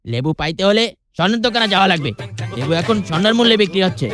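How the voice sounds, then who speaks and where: A man voicing a sarcastic, excitable shopkeeper in a comic cartoon. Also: high-pitched, racing, soft background music. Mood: scornful. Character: sarcastic, excitable male shopkeeper mocking his customer.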